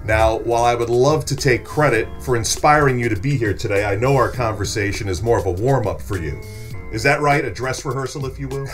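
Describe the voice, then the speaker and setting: A man's voice: medium pitch, fairly quick, faint music behind, close to an everyday voice. A middle-aged man playing a genial, teasing local podcast host in a scripted interview. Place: small furnished podcast studio. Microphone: studio microphone on a desk stand.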